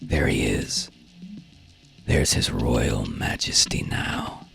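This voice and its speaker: A man's voice: extremely low pitch, lively intonation, slow pace, creaky, a bit bright, whispered, voice pushed down, full character voice. A man voicing a tense, commanding safari guide in a radio drama, giving stern, clipped orders. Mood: hushed awe. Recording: live performance with music bed.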